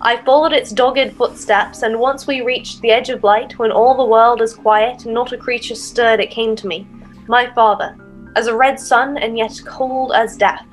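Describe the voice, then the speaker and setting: A woman's voice: fairly high pitch, fairly even intonation, moderate pace, faint music behind, lightly acted, low-quality recording. A woman playing a brooding, earnest young noble in a theatrical, Shakespearean audio drama manner. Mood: solemn, urgent. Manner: theatrical, archaic Shakespearean phrasing. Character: brooding, earnest young Danish noble sworn to avenge a father.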